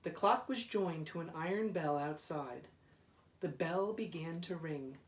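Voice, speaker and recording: A man reading a children's storybook aloud in a deliberate, dramatic way, drawing out repeated words. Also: fairly high pitch. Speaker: school principal reading aloud. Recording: roomy echo, distant microphone.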